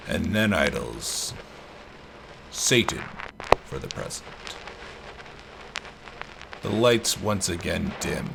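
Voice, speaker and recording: A man reading a horror story aloud in a slow, dramatic narration style. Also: low-pitched, creaky, heavy background noise.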